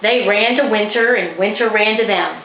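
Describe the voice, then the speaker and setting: A woman's voice: medium pitch, normal speed. A woman narrating a children's storybook expressively, as an author reading her own work. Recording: roomy, slightly dull, faintly noisy recording.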